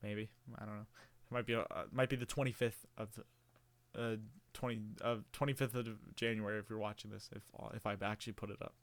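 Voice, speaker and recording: A man's voice: lowish voice, fairly even intonation, a little fast, dry recording. A man in his early twenties talking casually to camera in a meandering, rambling way, with many fillers and restarts. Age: early 20s. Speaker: vlogger.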